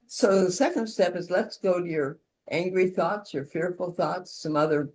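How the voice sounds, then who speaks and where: A woman's voice: lowish voice, normal speed, a little mellow. A woman leading an instructional session in an informal, conversational way, with role-played support calls. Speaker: session leader demonstrating peer-support calls.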